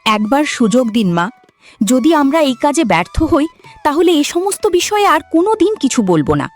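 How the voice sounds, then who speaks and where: A woman performs a hardworking, patient young bride for a village cartoon. Her voice is high, earnest and gently pleading. Soft music runs behind. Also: very animated, moderate pace. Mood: hopeful. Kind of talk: cartoon voice acting.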